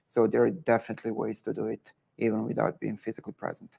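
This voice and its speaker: A man's voice: lowish voice, monotone, fast talker, vocal fry, a little mellow, husky. A man with a Serbian accent, a guest entrepreneur in an online interview, giving earnest advice with many filler words.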